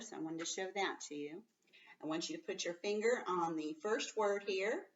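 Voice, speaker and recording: Slightly muffled woman teacher, expressive reader. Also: medium pitch, recorded from a distance. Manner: expressive, emphatic, slows right down on story words; patient, simple step-by-step explaining. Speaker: teacher reading a story aloud to young pupils. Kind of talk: read-aloud lesson with explanations for children.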